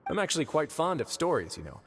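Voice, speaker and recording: An actor playing a calm, shrewd young duke who runs a prison, smooth, measured and politely teasing. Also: male voice, on the high side, a little hiss or hum. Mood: courteous. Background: game background music.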